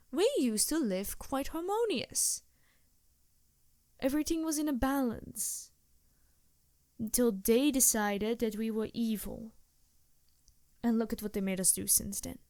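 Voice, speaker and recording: Woman acting a slow, sultry succubus demon. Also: fairly high pitch, darkish tone, dry recording, studio quality, lightly acted. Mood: exasperated, scheming. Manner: languid, flirtatious, theatrical, sighing. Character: vain, seductive succubus demon, haughty and teasing. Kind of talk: ASMR roleplay, improvised character acting.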